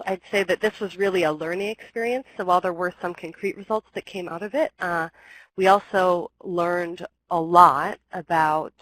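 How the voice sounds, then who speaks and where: A woman's voice: on the low side, a bit bright, no echo, low-quality recording. Woman with a cold presenting a webinar. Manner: explanatory, with frequent ums and restarts. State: has a cold, slightly scratchy.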